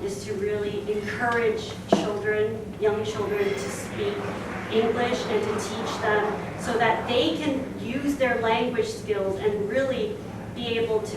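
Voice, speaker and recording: A young woman from Singapore giving a chatty talk to a club audience, with frequent fillers. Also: mid-range voice. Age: young adult. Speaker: speaker. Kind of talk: presentation to a club. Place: meeting room. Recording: echoey room, distant mic, noisy.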